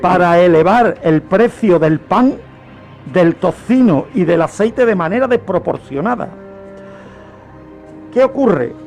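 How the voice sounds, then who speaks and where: A man with a Spanish accent from Spain speaks in a notably high voice and takes his time over each phrase. He tells history like a radio storyteller, repeating words for emphasis. Faint music plays underneath. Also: expressive, unhurried, gravelly, pauses often, darkish tone. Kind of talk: animated historical storytelling on a radio talk show.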